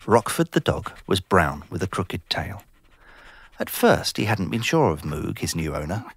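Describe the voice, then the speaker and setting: A man's voice: low voice, big pitch swings, a little slow, vocal fry, breathy edge, dry recording. A man narrating a scripted children's story. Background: music.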